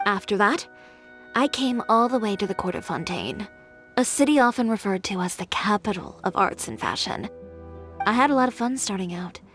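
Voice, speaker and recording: A woman's voice: medium pitch, expressive, normal speed, natural voice. A woman voicing a confident, stubborn young fashion designer who talks in a cool, wry, self-assured way. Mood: reflective. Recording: game audio over soft music.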